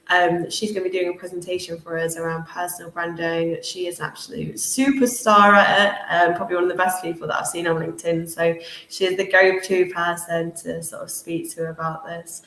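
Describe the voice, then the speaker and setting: A woman's voice: medium pitch, fairly bright tone. A woman hosting a webinar over a video call, casual and bubbly, laughing often. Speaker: webinar host. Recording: echoey room on a video call.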